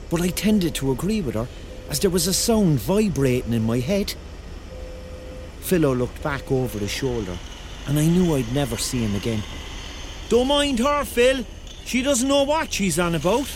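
A Cork man in his thirties narrating a surreal radio drama. He is rambling and excitable, with a highly animated, swooping delivery and a chatty, colloquial turn of phrase. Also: a little slow, a little hiss or hum. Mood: excited. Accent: Cork Irish. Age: 30s. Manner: colloquial, conversational storytelling. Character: rambling, excitable thirty-year-old Corkman on a surreal night out. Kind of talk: radio drama acting, first-person narration and dialogue.

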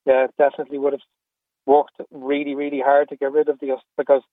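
A middle-aged man with an Irish accent speaking candidly and earnestly about personal matters as an interview guest, in a halting way with repeated phrases. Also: medium pitch, monotone, dry recording. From Australia and Ireland. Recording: phone-like, narrow-band radio call.

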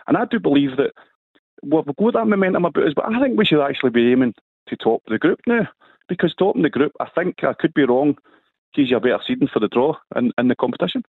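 Husky pundit, tinny sound. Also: male voice, a little high, dry recording. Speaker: football pundit.

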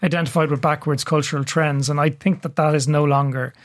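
Higher-voiced Dublin man explaining history. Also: fairly even intonation. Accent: Dublin Irish. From Ireland. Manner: measured, fact-heavy, frequent uh fillers. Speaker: curator, radio guest. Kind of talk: radio interview, explanatory.

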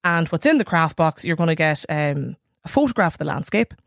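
A woman's voice: sits low, very animated, a little fast, gravelly, no echo, clean studio sound. A woman with an Irish accent hosting a radio phone-in, chatting in a wry, conversational way and prompting callers with questions. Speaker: radio talk show host.

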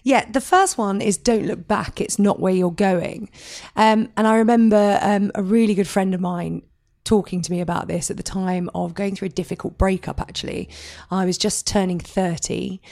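A woman in her forties with a husky, hoarse voice from a sore throat, chatting in a relaxed, self-deprecating way as a podcast guest. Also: medium pitch, moderate pace, no room sound, studio quality. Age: 40s. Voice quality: husky, scratchy. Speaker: podcast guest, news anchor. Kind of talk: casual interview chat. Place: at home in her flat.

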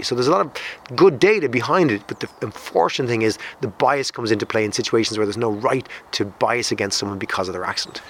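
Fast-talking male explainer. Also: mid-range voice, a bit bright, a little hiss or hum. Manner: brisk, explains with reasoning. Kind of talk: explanatory talk.

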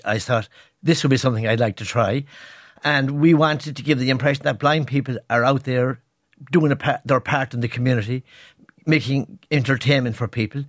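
A man's voice: medium pitch, no echo. Earnest Irish man in a dry studio. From Ireland. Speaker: guest performer. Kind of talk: radio interview. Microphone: studio microphone.

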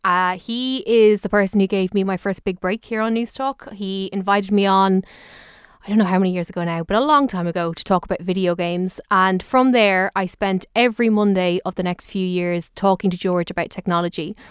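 A woman hosting a radio tech show, presenting and interviewing in a clear, friendly presenter style. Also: mid-range voice, fairly quick, dry recording, clean studio sound.

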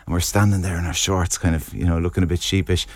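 A man's voice: low voice, flat delivery, dry recording. Irish man hosting a radio interview. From Ireland. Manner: friendly, conversational, quick laughs. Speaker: radio host. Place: radio studio.

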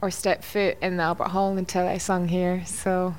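Young woman singer, smooth voice. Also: on the low side, little pitch movement, normal speed, darkish tone. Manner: agreeable, short replies, frequent yeahs. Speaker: musical theatre singer. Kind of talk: casual interview chat. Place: backstage room.

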